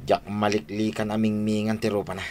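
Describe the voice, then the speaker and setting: A man's voice: on the low side, little pitch movement, a little fast, darkish tone, a little hiss or hum, lightly acted. An actor playing an impatient, huffy henchman muttering to himself in an Ilocano radio drama, with an Ilocano accent. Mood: impatient, grumbling. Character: impatient, grumbling henchman waiting on his boss.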